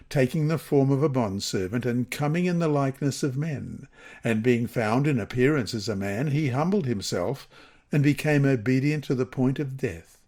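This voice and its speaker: A man from Queensland, reading a Bible study lesson aloud in a measured way and slowing for quoted scripture. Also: medium pitch, a little mellow. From Queensland, Australia.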